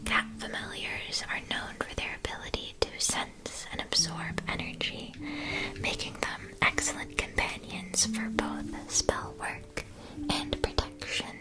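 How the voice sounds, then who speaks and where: A woman playing a gentle, attentive witch shopkeeper in a scripted ASMR roleplay, whispering soft, measured, soothing explanations. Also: close to an everyday voice, poor audio. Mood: calm, attentive. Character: gentle, attentive witch school supply shopkeeper advising a student.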